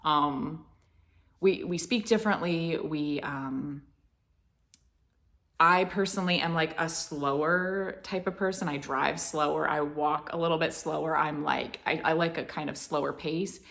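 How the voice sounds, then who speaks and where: Low creaky southern-accented woman, rambling vlog chat, lots of ums. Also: measured pace, fairly bright tone. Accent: American Southern. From Florida, United States. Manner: meandering, with many restarts and fillers. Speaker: vlogger. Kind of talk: casual rambling chat to camera.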